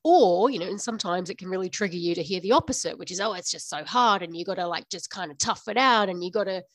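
A woman in her thirties hosting her own podcast, a little nasal and stuffy from the flu, talking frankly and unscripted with a quick laugh now and then. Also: fairly low pitch, a bit bright, no room sound. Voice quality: slightly nasal. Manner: frank, tells it like it is, laughs often. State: stuffy nose after the flu. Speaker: podcast host. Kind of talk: unscripted business podcast monologue.

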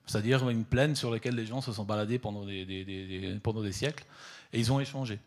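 A middle-aged man from southern Italy speaks about his work in a fairly low voice. He gropes for words, repeating himself and filling gaps with hesitations. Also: darkish tone. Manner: halting, many fillers and repeated words. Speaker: photographer. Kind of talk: hesitant conversational talk at an exhibition.

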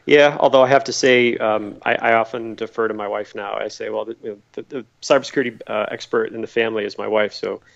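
A middle-aged man from Massachusetts whose voice has a gravelly, rough edge. He answers interview questions as easygoing stories, often repeating a word while he gathers his thoughts. The sound is slightly dull and lo-fi. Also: fairly low pitch, fast, hardly any pauses. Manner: relaxed storytelling, repeats words while thinking. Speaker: podcast guest.

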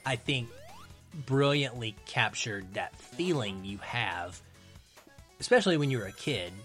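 A man's voice: mid-range voice, animated, a little slow, fairly bright tone, soft background music. A man talking casually and enthusiastically about films as a podcast guest. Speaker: podcast guest, film critic. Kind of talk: casual film discussion.

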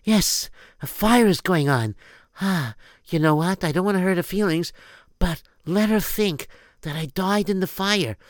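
A man's voice: sits high, unhurried, dry recording, studio quality. A man doing an earnest young woman fleeing. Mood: eager.

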